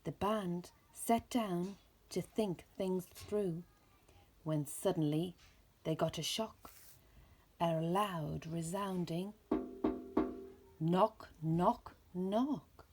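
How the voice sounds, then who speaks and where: A woman with a husky, breathy voice reading a rhyming children's story aloud in slow, deliberate phrases. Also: on the low side, over music. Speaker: storybook reader.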